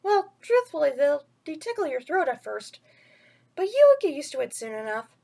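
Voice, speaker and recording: A woman voicing an eager, cheeky, playful young jungle boy from a storybook, excitable and insistent. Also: sits high, moderate pace, gravelly, heavily acted.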